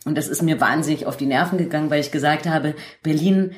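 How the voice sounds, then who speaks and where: A woman's voice: low voice, fast, roomy sound. A woman hosting a conversational podcast interview, putting her views forward while searching for words and restarting. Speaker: host, interviewer.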